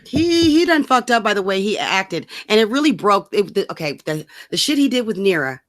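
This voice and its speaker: A woman's voice: mid-range voice, big pitch swings, brisk. Casting: woman co-host, exasperated and slangy, swears freely in loose, chatty rants. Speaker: co-host of political rant show. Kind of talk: casual chat, profane political ranting.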